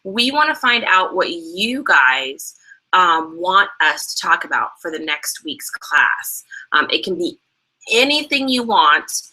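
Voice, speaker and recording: A woman's voice: fairly low pitch, sing-song, slow pace, pushed and bright, poor audio. A young woman co-hosting an online sales training, chatting casually and explaining steps with lots of fillers. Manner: chatty, many fillers, laughs easily. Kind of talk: casual instructional talk.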